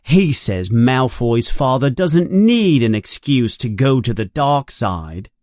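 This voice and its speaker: A man's voice: medium pitch, lively intonation, takes it slowly, darkish tone, no echo, studio quality. A man voicing an indignant, loyal schoolboy in an audiobook, sounding earnest and resentful.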